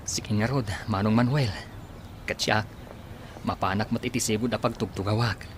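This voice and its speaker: A man's voice: on the low side, steady tone, normal speed, slight background noise, lightly acted. A man acting a tired, dutiful working village son in an Ilocano radio drama, with an Ilocano Filipino accent. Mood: respectful.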